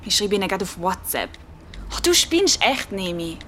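A woman's voice: mid-range voice, sing-song, moderate pace, a bit bright, a little hiss or hum. A woman playing an exasperated, cool teenage older sibling in a children's radio play, with a Swiss German accent and slangy, scolding talk. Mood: annoyed.

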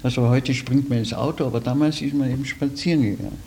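An elderly man tells memories in conversation. His voice is mellow and of medium pitch, and he talks in loose anecdotes. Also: fast talker, a little hiss or hum. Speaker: interviewee. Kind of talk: anecdotal reminiscing.

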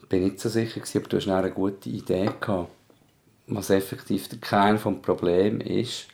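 Swiss german guy on a podcast, low and mellow, thinking out loud, casual. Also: steady tone, moderate pace, gravelly. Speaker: podcast co-host and parent.

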